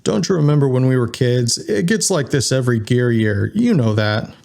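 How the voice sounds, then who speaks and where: A man's voice: medium pitch, lively intonation, moderate pace, vocal fry, a little mellow. Casting: man to voice a pint-sized, officious male otter policeman, big attitude, audiobook sci-fi. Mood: exasperated. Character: short, larger-than-life male otter security cop, stern.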